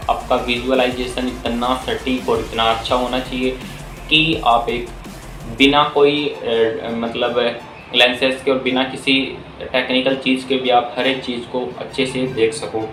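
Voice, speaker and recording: A man's voice: mid-range voice, fairly bright tone. A man giving an informal, instructional explainer as a video host, mixing in many English terms. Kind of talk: informal explainer addressing viewers. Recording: roomy echo with faint music.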